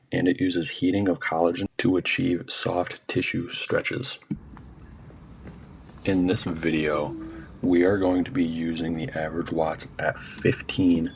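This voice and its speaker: A man's voice: low-pitched, a little mellow, slight background noise. A man reading scripted educational narration in a plain, matter-of-fact way, like a student presenting an instructional video.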